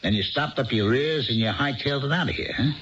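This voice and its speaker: An actor playing a plain-spoken, patient and gently skeptical bartender in a 1970s radio drama, with a dry, folksy manner. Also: male voice, medium pitch, quick pace, a little hiss or hum, close to an everyday voice. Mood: patient, probing. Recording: dull lo-fi 1970s radio broadcast.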